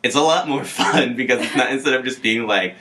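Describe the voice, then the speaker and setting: Young man bantering, bright voice. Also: medium pitch, brisk. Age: young adult. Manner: joking, bantering. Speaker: student podcast co-host. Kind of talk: casual comedic chat.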